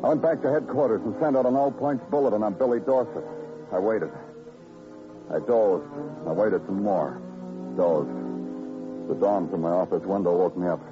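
An American actor playing a weary, hardboiled police detective in a radio crime drama, terse, clipped and brooding. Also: male voice, on the low side, moderate pace, long pauses, lightly acted. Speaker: actor, lead and narrator. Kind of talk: 1950s radio crime drama acting, hardboiled first-person narration. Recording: muffled old radio recording with music.

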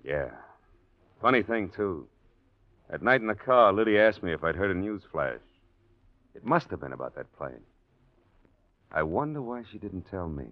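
Beaten old radio crime villain, really low voice, bitter, tinny phone-like sound. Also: male voice, big pitch swings, normal speed, long pauses. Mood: resigned, bitter. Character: defeated, resigned male murderer under arrest. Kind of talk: 1940s radio acting. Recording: tinny, narrow vintage radio recording.

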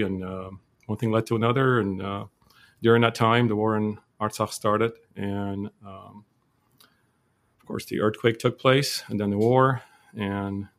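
Interview guest, male, 40s, from Lebanon; low, flat, steady storyteller. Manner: steady, measured storytelling with frequent fillers. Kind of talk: casual interview.

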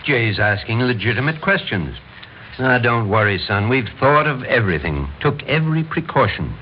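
An actor playing a brusque, self-righteous military major who runs a vigilante committee in an old-time radio drama. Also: male voice, mid-range voice, sing-song, moderate pace, lightly acted. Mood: firm, reassuring. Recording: dull, noisy old radio recording.

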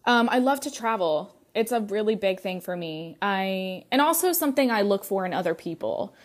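A woman's voice: mid-range voice, animated, moderate pace. A young adult woman hosting a podcast, talking casually about her own life, full of "like" and "um". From United States. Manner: chatty, many fillers and "like". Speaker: podcast host. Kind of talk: casual personal monologue.

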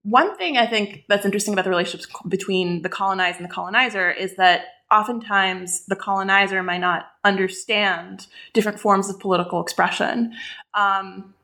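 Palestinian woman asking measured questions. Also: mid-range voice, bright, forceful tone. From West Bank, Palestine. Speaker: interviewer. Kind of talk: academic conversation.

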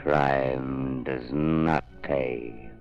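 An actor playing a sinister, mocking avenger in an old radio drama, ominous and drawn-out. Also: male voice, rumbling low, steady tone, exaggerated voice. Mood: ominous, gloating. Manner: slow, ominous, menacing, drawn-out. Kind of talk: 1930s-40s radio drama signature. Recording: dull vintage radio recording, music behind.

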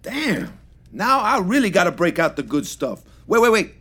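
An actor playing an exuberant middle-aged New shop owner and stoner in an audio drama, with a husky, gravelly voice and effusive, slangy patter. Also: male voice, sits high, big pitch swings, normal speed, a bit bright, full character voice. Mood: curious, eager. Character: exuberant, friendly neighborhood empanada-shop owner and stoner man. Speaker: actor in scripted fiction podcast.

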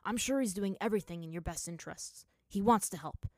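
A woman's voice: medium pitch, brisk, darkish tone, dry recording, studio quality, lightly acted. A woman voicing a stern, scarred man who gives insistent, impatient guidance in a story. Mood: firm, earnest. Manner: clear, unchallenging, insistent. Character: stern scarred older young man, firm, impatient guide.

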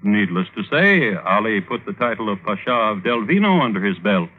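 A man narrating a classic radio show with dry, wry understatement in a husky voice. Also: fairly low pitch, very animated, normal speed, noisy background. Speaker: narrator, host. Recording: narrow-band old radio recording.

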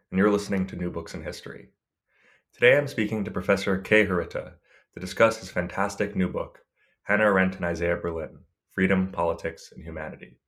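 Man, podcast host, very deep voice, formal intro reading, relaxed questions. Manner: reads a formal introduction, then asks loose questions. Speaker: podcast host, assistant editor. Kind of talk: podcast interview.

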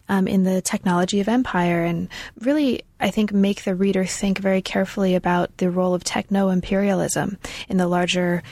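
A woman's voice: medium pitch, a little mellow, no echo. A woman hosting an academic book interview, speaking with enthusiastic, effusive praise and frequent fillers. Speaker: podcast host.

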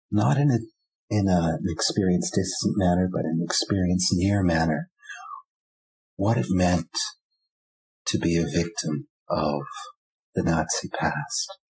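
A man whose first language is German speaks as an author being interviewed. His voice sits very low and sounds husky and rough-edged. He answers in a measured, reflective way, pausing often and adding fillers as he gathers his thoughts. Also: darkish tone, rough recording.

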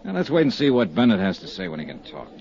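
A hard-boiled insurance detective, wry and clipped, lively pitch, dull old broadcast sound. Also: male voice, quick pace. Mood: terse. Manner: clipped, dry first-person narration. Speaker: insurance investigator and narrator. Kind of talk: 1950s radio drama acting.

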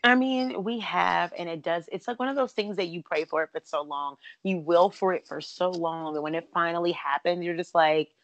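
A woman with a raspy, somewhat low voice hosts a casual podcast. She chats breezily and leans on "like" and "literally" a lot. Her voice comes through dry and close over a remote call. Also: no room sound. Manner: chatty, fast-talking with many "like" and "literally". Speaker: podcast host. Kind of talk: casual chat. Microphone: remote call, cutting out at times.

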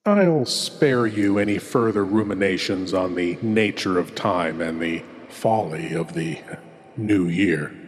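A man's voice: low-pitched, slow pace, big-room sound. A man playing a brooding, courtly horror host, delivering a solemn, theatrical monologue. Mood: solemn, darkly tender. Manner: theatrical, solemn, savoring each word.

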